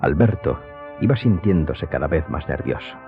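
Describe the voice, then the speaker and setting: A male narrator reads scripted radio drama narration. His voice is very deep. The audio is narrow and phone-like, with clear music underneath. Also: animated, moderate pace. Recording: tinny, narrow-band audio.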